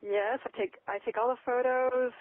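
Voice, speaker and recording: A woman's voice: fairly high pitch, steady tone, moderate pace. An American woman, a blogger and author, telling her story in a casual interview, enthusiastic and full of "you know"s. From United States. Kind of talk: casual interview over the phone. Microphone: telephone. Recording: tinny phone line, dry.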